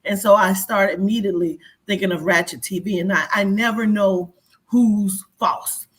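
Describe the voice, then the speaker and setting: A woman's voice: mid-range voice, fairly even intonation, normal speed, slightly rough. A woman guest in a casual interview, chatty and earnest.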